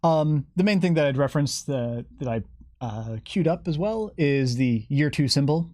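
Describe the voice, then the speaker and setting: A man's voice: on the high side, animated, normal speed, a little mellow, no echo, clean studio sound. A man chatting unscripted as a guest explaining game lore, with a wry, playful manner.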